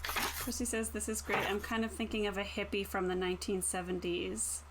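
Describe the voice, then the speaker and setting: A woman's voice: mid-range voice, fairly even intonation, moderate pace, rough recording. A woman chatting casually as a player in an online tabletop roleplaying session. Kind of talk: casual chat. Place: indoors, online call with stream. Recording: faint background noise.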